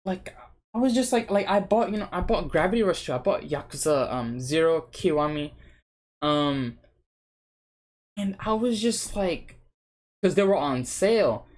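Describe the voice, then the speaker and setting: A man's voice: sits high, expressive, measured pace, pauses often. A young man whose first language is Spanish, rambling and swearing casually to a cheap webcam while seated indoors, with lots of restarts and fillers. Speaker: vlogger. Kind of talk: casual unscripted chat to camera.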